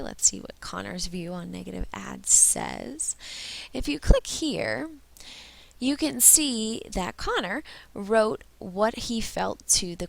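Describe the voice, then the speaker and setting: A woman's voice: medium pitch, creaky, rough recording. A young woman, a teacher who sounds stuffy and under the weather, patiently walking colleagues step by step through a training session. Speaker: teacher leading staff training. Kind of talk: instructional screen-share walkthrough.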